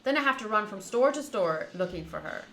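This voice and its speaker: A woman's voice: medium pitch, expressive, brisk, a bit bright. A woman streamer doing a casual game playthrough, reading text aloud and commenting as she goes. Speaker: streamer playing a visual novel. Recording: roomy echo, faint background noise.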